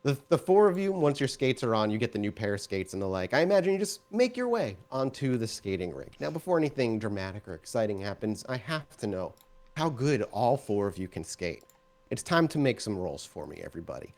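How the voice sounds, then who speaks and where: A man acts as storyteller for a tabletop game. His mid-range voice is highly animated, moving freely up and down. He keeps it conversational and improvised as he narrates. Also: brisk.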